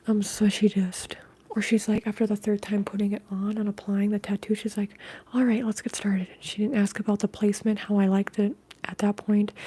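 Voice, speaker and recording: A soft-spoken woman hosting an ASMR livestream, talking in a breathy, whispery hush and chatting casually with her viewers. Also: mid-range voice. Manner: hushed, unhurried casual talk.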